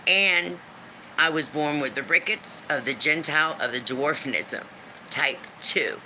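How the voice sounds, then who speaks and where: A woman in her fifties with a deep smoker's voice, venting on a live stream in a blunt, emphatic way, swearing freely and repeating lines for emphasis. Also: a little slow, bright, forceful tone, slight background noise, rough recording. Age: 50s. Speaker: livestream host. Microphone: phone or webcam for a live stream.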